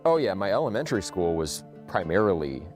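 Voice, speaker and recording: A man's voice: low-pitched, sing-song, brisk, faint music behind. A man from Southern California answering interview questions in an earnest, lecturing way.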